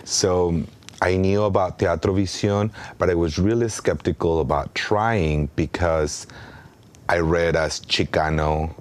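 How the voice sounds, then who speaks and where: A man's voice: low voice, steady tone, a little slow. A man in his thirties from Mexico with a Mexican Spanish accent, a native Spanish speaker, talking earnestly as an interview guest, with frequent ums and restarts. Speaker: artistic director.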